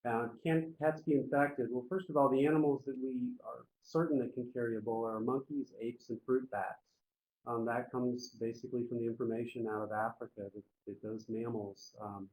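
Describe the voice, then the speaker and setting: A man's voice: medium pitch, fairly even intonation, moderate pace, a little mellow, rough recording. A man presenting an informal public health briefing on a conference call, explaining in plain, folksy wording.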